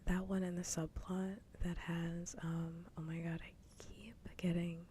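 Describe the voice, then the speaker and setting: A woman streamer chatting casually in a soft, breathy whisper, rambling along in ASMR style on a livestream. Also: fairly low pitch, little pitch movement, measured pace, slight background noise. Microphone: close ASMR microphone.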